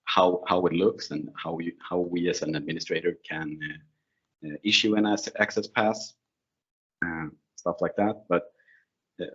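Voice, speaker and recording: A man's voice: deep voice, a bit bright, slightly rough. A man who is not a native English speaker, giving an informal technical presentation in a conversational, slightly hesitant way. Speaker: presenter, IT security consultant. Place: online video call screen share. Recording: dull, lo-fi online meeting audio.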